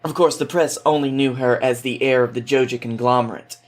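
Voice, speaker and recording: A man's voice: mid-range voice, fairly even intonation, brisk. A man appearing as a podcast guest, talking casually and reading aloud.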